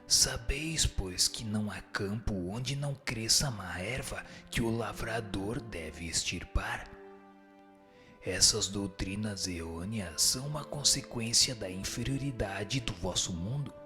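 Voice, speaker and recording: Male actor as grave spirit teacher, low-pitched, very slow formal delivery for radio drama. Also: pushed and bright, soft background music. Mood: firm, admonishing. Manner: formal, didactic, grave oratory. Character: solemn male spirit teacher answering questions. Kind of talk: scripted dramatised reading of a spiritist text.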